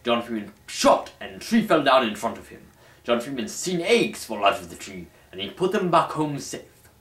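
A young man hosts a mock-dramatic reading with formal, pompous flair. His medium-pitched voice moves in lively, wide swings. The recording is echoey, picked up from a short distance in a small room. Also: normal speed. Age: young adult. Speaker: host and reader. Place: small dim room at home. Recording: roomy, distant camera microphone.

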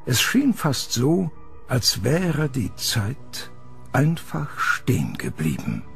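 Guy narrating a horror story over music, slow and gravelly. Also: medium pitch, wildly swooping pitch.

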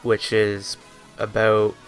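A man's voice: fairly low pitch, completely flat delivery, slow pace. Hesitant young man rambling, music behind. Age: 20s. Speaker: YouTube vlogger. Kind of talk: casual rambling webcam vlog. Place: small bedroom, indoors.

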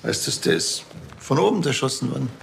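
Creaky, wry detective. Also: male voice, mid-range voice, lively intonation, fairly quick, slight background noise. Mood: dry. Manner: casual, dry, colloquial. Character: wry, provoking male police detective.

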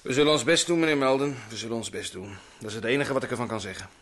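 An actor playing a doctor and scientist who works through the night, talking in a jovial, chatty way in an old radio drama. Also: male voice, medium pitch, rapid-fire, fairly bright tone, natural voice. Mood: earnest. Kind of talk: vintage Dutch radio drama acting.

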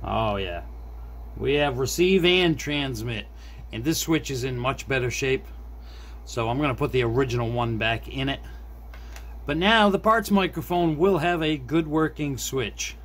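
A middle-aged man talking casually and unhurriedly, half to himself, as he demonstrates a hands-on repair at a desk. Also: mid-range voice. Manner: unhurried, muttering to self while working. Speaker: hobbyist repair video host. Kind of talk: casual hands-on demonstration, narrating as they work. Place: small indoor desk workspace. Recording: faint background noise.

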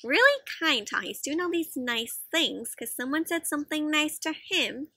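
A young adult woman doing an expressive, interactive picture-book read-aloud for children, asking lots of questions. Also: high-pitched, moderate pace, dry recording, studio quality. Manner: expressive, asks frequent questions, gasps. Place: outdoors in a fenced backyard.

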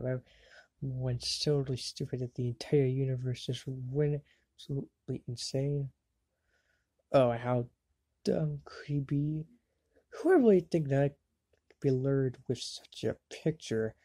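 A woman's voice: extremely low pitch, a little slow, mellow, dry recording, full character voice. A woman voicing a bratty, hot-tempered young boy wizard in an amateur cartoon fandub, sneering and exasperated. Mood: dismissive, uneasy.

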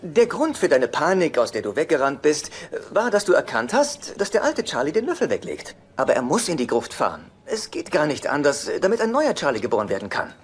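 A man's voice: fairly high pitch, wildly swooping pitch, brisk, hardly any pauses. A man playing a fussy, needy, meek brother in a sitcom dub, speaking hesitantly and pedantically with dry wit. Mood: earnest, wheedling. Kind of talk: dubbed sitcom dialogue. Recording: noisy TV sitcom soundtrack.

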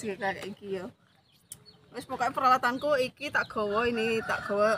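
A woman's voice: on the high side, background noise. A woman in her thirties with a Javanese accent, chatting to viewers while walking through a village with a phone in hand. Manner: chatty, addresses viewers as guys often. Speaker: vlogger. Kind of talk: casual walking vlog. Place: outdoors in a village lane. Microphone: handheld phone at arm's length. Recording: background music.

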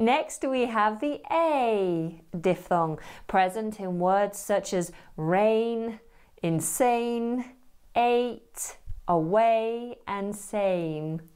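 A woman presents a scripted pronunciation lesson in a crisp, medium-pitched voice. She speaks extremely slowly and enunciates like an instructor. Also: a little mellow. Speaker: pronunciation teacher.

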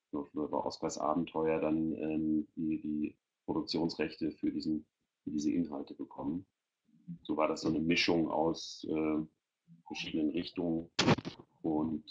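Very deep-voiced man, dull call audio. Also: little pitch movement, moderate pace, a little mellow. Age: middle-aged. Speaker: panel guest presenting a children's audio portal. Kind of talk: informal presentation in an online webinar. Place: indoor room, video call. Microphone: headset on a video call.

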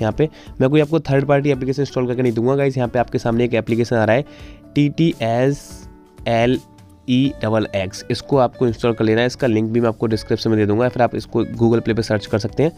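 A man hosts a casual phone tutorial at a quick clip. He speaks informally and repeats himself in a pleading way, with instrumental music behind his mid-pitched voice. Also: dark tone. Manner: repetitive, pleading, addressing viewers informally. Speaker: tech tutorial host. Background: instrumental background music.

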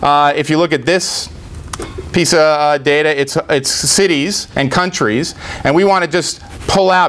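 A middle-aged man giving a live software demo in an informal, step-by-step explanatory way. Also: on the high side. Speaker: tech presenter. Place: indoor meetup presentation room.

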